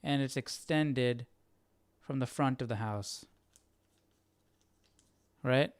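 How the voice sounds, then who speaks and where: A man's voice: mid-range voice, slow pace, smooth, no room sound. A young man hosting a casual study livestream, musing aloud as if to himself. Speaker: livestream host. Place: small indoor room.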